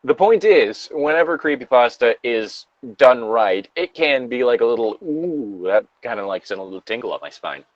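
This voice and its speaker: A man's voice: medium pitch, expressive, moderate pace, few pauses, dry recording, highs cut off. A man co-hosting a podcast, chatting casually and giving opinions on horror in a rambling, sweary way. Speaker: podcast co-host.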